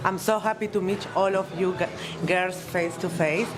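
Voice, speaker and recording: A woman's voice: on the low side, steady tone, moderate pace. A woman speaking as a panel guest, earnest and heartfelt, in slightly non-native English. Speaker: guest, cigar company CEO. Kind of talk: casual panel speech. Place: cigar bar, live event. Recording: loud music in the background.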